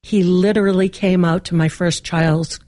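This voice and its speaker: A woman chats freely as an interview guest, with a somewhat low voice. Her talk runs on in a lively, storytelling way, recorded dry and close. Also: moderate pace, no room sound. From Massachusetts.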